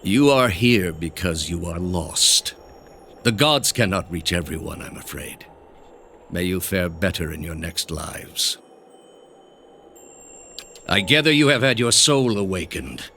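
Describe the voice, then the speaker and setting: An actor playing a cold, pompous male grandmaster of an ancient zealous order in a video game, speaking deliberately and with condescension. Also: sits low, expressive, sharp tone, a little hiss or hum, heavily acted. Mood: coldly condescending. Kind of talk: dramatic video game dialogue.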